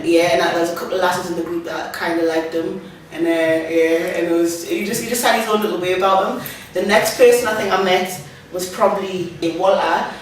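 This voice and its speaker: A woman's voice: fairly low pitch, slight background noise. A woman youth worker, reflecting in a loose interview with many fillers. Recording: echoey room, mic at a distance.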